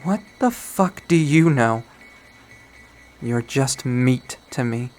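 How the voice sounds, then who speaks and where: Brief: male reader doing a resentful, cruel young woman, raised pitch, harsh and cutting. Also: lively intonation, slow pace, slight background noise. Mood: furious. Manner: sneering, shouting, venomous.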